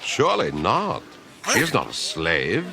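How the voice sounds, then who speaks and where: An actor playing a courtly, lecherous Roman general in a radio drama, with a bass baritone and grandiose flattery. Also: male voice, takes it slowly, creaky, exaggerated voice. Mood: suave, flirtatious.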